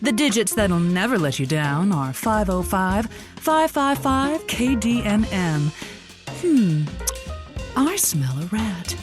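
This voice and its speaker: A theatrical lonely-hearts radio hostess in a comedy podcast. She is sharp-tongued and arch, with swooping intonation and a slow, savoring pace. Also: mid-range voice, sing-song, over music. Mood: showy, arch. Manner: dramatic, wordy, arch. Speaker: radio advice show host. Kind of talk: audio comedy acting.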